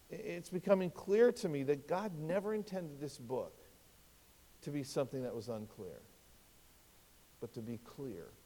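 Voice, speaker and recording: Fifty-something preacher in a reverberant hall. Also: male voice, mid-range voice. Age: 50s. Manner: conversational, rhetorical questions, wide swings in loudness. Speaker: pastor. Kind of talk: expository sermon.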